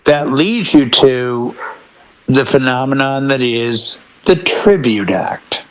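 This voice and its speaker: A man's voice: mid-range voice, expressive, takes it slowly, slight background noise, low-quality recording. A middle-aged American man in a casual panel chat, telling stories as a DJ and acting out bits of dialogue. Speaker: DJ and podcast panelist.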